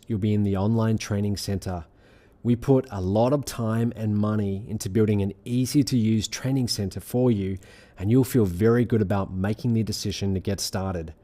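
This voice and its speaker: A man reading a scripted sales pitch as presenter, in an earnest, measured, persuasive manner. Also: warm tone. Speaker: presenter of an online money-making sales pitch.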